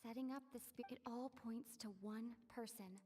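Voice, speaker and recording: A woman's voice: a little high, steady tone, moderate pace, warm tone, in a large hall. A woman reading out dialogue from a video game.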